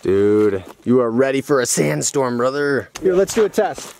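A man's voice: medium pitch, big pitch swings, normal speed, vocal fry, a little mellow. A young man chatting casually and slangily as a vlogger, laid-back, recorded outdoors on a handheld camera. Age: young adult. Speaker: vlogger, show host. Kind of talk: casual vlog chat. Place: outdoors in a dirt yard by garages. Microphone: handheld camera at arm's length. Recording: noisy outdoor camera audio with vehicle noise.

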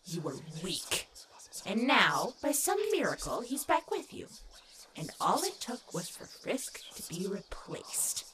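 A woman's voice: medium pitch, very animated, a little slow, background noise. A woman voicing a sinister, mocking demon child in a dramatic comic dub, taunting cruelly and sometimes dropping into a whisper. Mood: coldly taunting.

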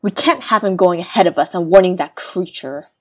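A woman's voice: fairly low pitch, fairly quick, close to an everyday voice. A woman acting a scheming, vindictive young villainess in an audio drama, smug and commanding. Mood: commanding, menacing. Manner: commanding, coolly menacing.

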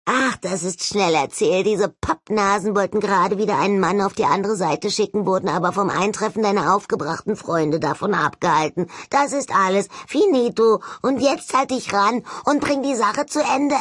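A woman's voice: mid-range voice, moderate pace, hardly any pauses, fairly bright tone, breathy edge, dry recording, studio quality. Woman voicing a sardonic, gleefully morbid ghost skull. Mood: impatient, scornful. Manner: mocking, theatrical drawl.

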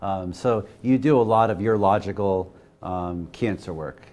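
A middle-aged man with a fairly low voice hosts a studio interview. He asks questions in a friendly, upbeat way. He is recorded on a lapel mic in a television studio. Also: moderate pace, a little mellow. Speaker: talk show host.

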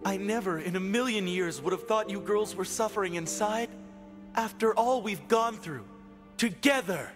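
A man's voice: high voice, normal speed, big-room sound, lightly acted. A man playing an earnest, hot-blooded young hero in an English anime dub, speaking with emphatic feeling. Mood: hurt, sorry. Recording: music behind the voice.

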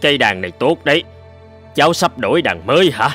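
Kindly older gentleman. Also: medium pitch, very animated, fairly quick, faint music behind. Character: kindly portly older gentleman violin buyer. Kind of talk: cartoon voice acting.

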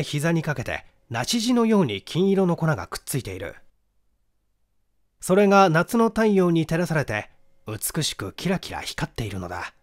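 Animated male mystery-story narrator. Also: fairly high pitch. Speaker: audiobook reader, narrator.

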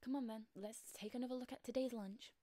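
A young woman playing a domineering, sarcastic high-school queen bee in a soft-spoken, often whispered ASMR roleplay, close on the mic. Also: steady tone, fast, no room sound, lightly acted. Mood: smug, dismissive. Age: young adult.

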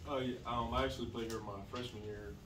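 A young man in his twenties, a college basketball player, answering press questions in a low-key way, full of "um" and "you know". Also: steady tone, fast. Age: 20s. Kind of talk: post-game press conference answers. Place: press room behind a table with a sponsor backdrop. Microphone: table mic, recorded from a distant camera. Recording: echoey room, far from the mic, background noise.

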